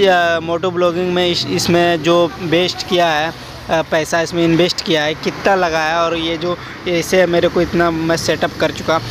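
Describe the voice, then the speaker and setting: Young male motovlogger, rambling commentary while riding, monotone, wind and road noise. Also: a little high. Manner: rambling, chatty, addresses viewers as guys. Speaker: moto vlogger. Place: outdoors on a motorcycle on rural roads. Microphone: helmet-mounted action camera.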